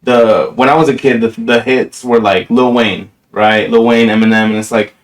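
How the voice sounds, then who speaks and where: A young man from New Jersey talks things over on a podcast in an everyday mid-range voice. He is thoughtful and reflective, often pausing on "you know". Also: mid-range voice, fairly even intonation. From New Jersey, USA. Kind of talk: casual chat.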